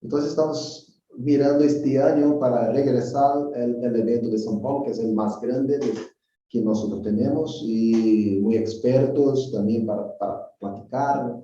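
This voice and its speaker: A man with a Brazilian Portuguese accent chats as a guest over a remote call, speaking Spanish as a second language. He talks in a halting way, repeating words as he searches for them. His voice is very dark and mellow, and it sounds distant and muffled in a strongly echoing room. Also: medium pitch, fairly even intonation, faint music behind. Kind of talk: casual interview chat.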